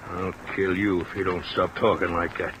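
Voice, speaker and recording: An actor playing a hostile, hot-tempered rancher, curt and menacing, in an old-time radio drama. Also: male voice, sits low, little pitch movement, normal speed, close to an everyday voice. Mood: angry, threatening. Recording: old radio recording under rain noise.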